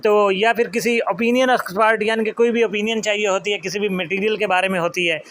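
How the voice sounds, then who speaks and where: A man's voice: high voice, fairly even intonation, quick pace, fairly bright tone, a little hiss or hum. A man with a Pakistani accent giving an explanatory lecture, reading out formal legal text and then explaining it. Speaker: law lecturer.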